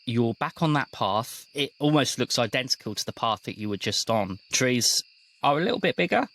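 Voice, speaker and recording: A man's voice: mid-range voice, expressive, moderate pace, fairly bright tone, rough recording. A man running a tabletop role-playing game as game master, narrating scenes as he improvises them. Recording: clear background noise.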